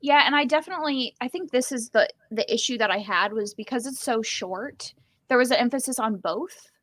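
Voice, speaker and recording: A woman's voice: on the high side, brisk, fairly bright tone, no room sound. Wry woman, dry close sound, chatting. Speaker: book podcast co-host. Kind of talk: casual book discussion.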